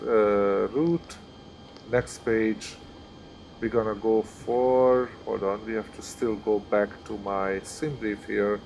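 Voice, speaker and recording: A man's voice: fairly low pitch, gravelly, darkish tone, slight background noise. A man with a Hungarian accent, streaming live and commenting casually as he thinks aloud through each step. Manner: chatty, thinks aloud while working through steps. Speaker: flight sim streamer.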